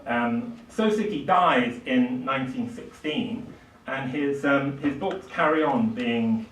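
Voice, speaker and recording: An older man giving a public literature lecture, speaking haltingly with emphatic swells. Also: mid-range voice, fairly bright tone, a little hiss or hum. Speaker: lecturer and author introducing a book. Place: small indoor lecture room at a podium. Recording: distant, echoey small lecture room.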